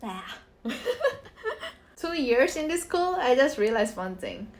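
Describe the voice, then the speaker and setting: A woman's voice: sits high, big pitch swings, slow pace. A young woman chatting casually as a vlogger, laughing often between her sentences. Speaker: former voice acting student vlogging. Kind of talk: casual chat. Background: background music.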